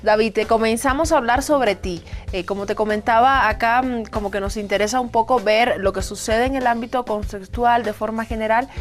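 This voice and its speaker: A woman hosts a television interview show, her delivery polished and presenter-like as she introduces and questions her guest. She talks in a quick, conversational flow, with faint music under her voice. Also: on the high side. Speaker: TV show host. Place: television studio.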